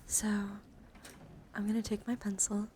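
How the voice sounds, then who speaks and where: A woman hosts an ASMR livestream in a soft voice that often drops to a whisper. Her pitch sits in the middle, breathy and gentle. She rambles intimately to viewers close to the mic, with clear ambient noise behind her. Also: little pitch movement, a little slow. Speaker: livestreamer and VTuber host. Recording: clearly noisy ambient background.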